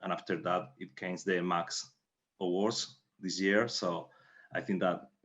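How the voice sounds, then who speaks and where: A man's voice: low-pitched, level tone, measured pace, a bit bright. A man, a native Spanish speaker with a Spanish accent, recounting his work as a playwright in careful, non-fluent English. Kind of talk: recounting in interview. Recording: dull, muffled audio.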